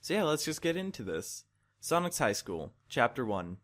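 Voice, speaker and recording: A man's voice: mid-range voice, big pitch swings, moderate pace. A man reading a fan fiction story aloud in a mock-dramatic scripted narration style.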